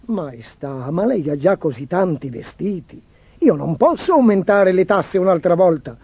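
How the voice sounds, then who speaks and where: A man as a timid, husky, fretful royal chancellor. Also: high-pitched, sing-song, unhurried, a little mellow, dry recording, highs cut off, heavily acted. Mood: pleading. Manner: hesitant, deferential, fretful. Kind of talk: theatrical children's storybook acting.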